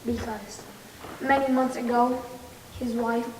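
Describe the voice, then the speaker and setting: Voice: mid-range voice, little pitch movement, slow pace, darkish tone, lightly acted. A young teenager acting a sorrowful vizier and father in a school stage play. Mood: grave, sorrowful. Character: anguished father, the Sultan's vizier, pleading and sorrowful. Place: school stage in a large hall. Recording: echoey hall, distant mic, noisy.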